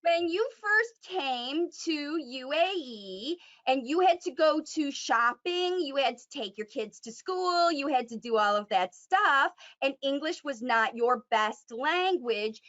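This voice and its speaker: High-voiced woman coaching parents, lo-fi. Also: lively intonation, takes it slowly, hardly any pauses, a bit bright. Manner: emphatic, encouraging, frequent "right?" tag questions.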